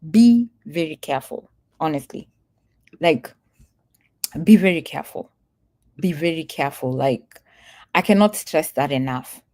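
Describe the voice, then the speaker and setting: A woman vlogger from Kenya talks straight to her viewers in a loose, chatty way. Her voice sits a little low for a woman, and she leans into her warnings, saying them again and again. She laughs easily between points. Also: expressive, a little slow, long pauses, darkish tone. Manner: earnest, emphatic, repeats warnings, laughs easily. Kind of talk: casual livestream talk to viewers.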